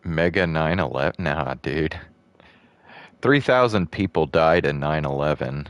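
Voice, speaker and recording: Super deep voiced young streamer dude rambling slow, cusses a lot, game music faint behind. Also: male voice, animated, no echo. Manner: rambling, blunt, swears freely. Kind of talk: casual gameplay commentary.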